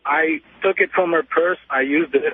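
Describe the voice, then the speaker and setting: A man's voice: fairly high pitch, husky. Hesitant young man on a tinny phone. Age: early 20s. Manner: hesitant, halting. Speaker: radio show caller. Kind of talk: casual phone confession. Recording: tinny, noisy phone line.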